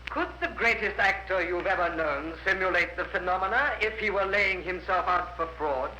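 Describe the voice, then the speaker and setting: A man's voice: sits high, moderate pace, bright, forceful tone. An actor playing a formal, probing male barrister, putting polite, pointed questions in a 1950s radio drama. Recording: roomy, noisy vintage radio recording.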